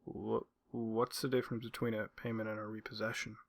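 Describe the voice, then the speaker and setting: A man playing a frightened, halting mother on a phone call in a creepypasta drama. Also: on the low side, level tone, vocal fry, no echo. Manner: halting, stammering.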